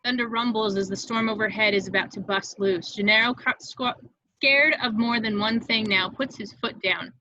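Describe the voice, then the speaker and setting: A woman narrates a screenplay's scene directions. Her voice sits a little high and sounds bright and crisp in its attack, though the recording is somewhat dull and lo-fi. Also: normal speed, no echo. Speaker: narrator reading stage directions in a table read.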